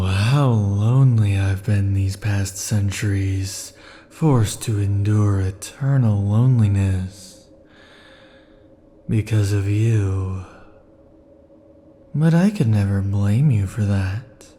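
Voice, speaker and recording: A man voicing a clingy, possessive immortal male lover in an ASMR roleplay, murmuring softly with sighs. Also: fairly low pitch, takes it slowly, a little hiss or hum. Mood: tender possessive. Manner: soft, sighing, lingering murmur.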